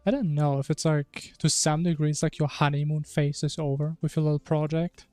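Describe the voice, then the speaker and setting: Guy streaming a game, kinda higher voice, rambly casual musing, bit of music behind him. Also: level tone, brisk, a little mellow, no echo. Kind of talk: casual chat while gaming.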